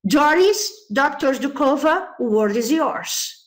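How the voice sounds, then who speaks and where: A woman with a bright, high-pitched voice introduces a guest speaker. She reads the credentials in a formal, list-like manner before handing over the floor. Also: lively intonation, takes it slowly. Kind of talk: reading a speaker biography.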